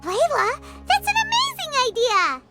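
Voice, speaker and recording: Squeaky, excitable little fairy companion. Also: female voice, moderate pace, over music, full character voice. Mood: excited. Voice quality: squeaky, childlike. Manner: exclamatory, refers to herself in third person. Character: tiny excitable floating fairy companion, childlike and pushy. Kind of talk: English video game voice acting.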